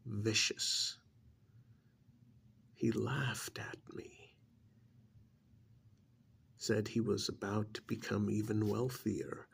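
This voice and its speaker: A man playing an arrogant, contemptuous ancient alien in an ASMR roleplay, speaking in a gravelly, breathy half-whisper with deliberate, condescending pauses. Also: on the low side, exaggerated voice. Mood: cold menace. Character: arrogant ancient alien stranded in a human body, contemptuous and menacing.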